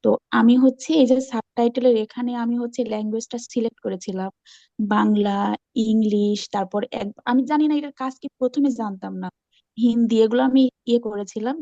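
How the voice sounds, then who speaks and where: Woman, high voice, eager and repetitive explainer in an online class discussion, dull dry call audio. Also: fairly even intonation, brisk, warm tone, no room sound. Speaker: class participant. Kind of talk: casual group call discussion, explaining from own experience.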